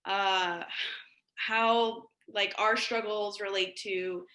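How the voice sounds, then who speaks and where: A woman from Ecuador speaking as a panelist in an online panel discussion, earnest and full of fillers and pauses. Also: medium pitch, steady tone, sharp tone, reverberant.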